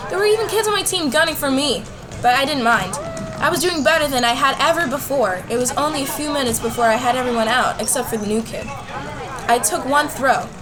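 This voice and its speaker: High-voiced high school girl narrator, voiced by a woman. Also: animated, a little fast, a crowd in the background. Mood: narrating. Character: bold, competitive high school girl telling her story. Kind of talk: scripted first-person radio drama narration.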